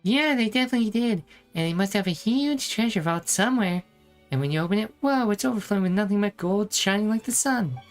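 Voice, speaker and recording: An actress voicing a small, childlike fairy companion in a video game, excitable, cute and greedy. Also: female voice, medium pitch, brisk, faint music behind, natural voice. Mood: excited, greedy. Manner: childlike, exclaiming, speaks of self in third person.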